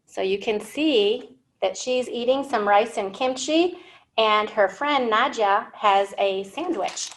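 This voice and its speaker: A woman's voice: fairly high pitch, takes it slowly, rough recording. A woman in her thirties reading a picture book to young learners as a storytime host, expressively, with simple questions and explanations. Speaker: children's librarian hosting storytime. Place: indoor library room.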